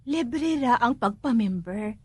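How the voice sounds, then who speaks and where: Woman acting as pleading wife, Filipino accent, high-pitched, animated, coaxing, giggly, radio drama. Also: slow, breathy edge, no echo, full character voice. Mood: eager, persuasive. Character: eager, pleading wife keen on a beauty-product networking scheme.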